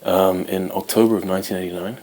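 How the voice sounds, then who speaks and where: Low-voiced young Welsh-born man rambling. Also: steady tone, fast talker, darkish tone, a little hiss or hum. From Wales. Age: 20s. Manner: chatty and rambling, many ums and you-knows. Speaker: guitar channel host. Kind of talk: casual talk to camera.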